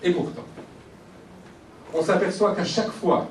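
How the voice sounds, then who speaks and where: A man from Algeria giving a reflective, measured lecture at a conference, building his points through pauses and lists. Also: high-pitched, slight background noise. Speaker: speaker at a conference. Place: conference hall. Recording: echoey hall from far off.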